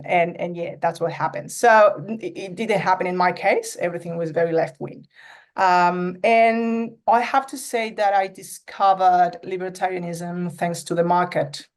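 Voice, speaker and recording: A woman in her thirties with an Argentine accent speaks as a podcast guest. Her voice sits somewhat low for a woman, and she talks in an earnest, casual way. Her Spanish-speaking roots come through as she halts on fillers and restarts while finding her words. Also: unhurried. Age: 30s. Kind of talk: casual interview conversation.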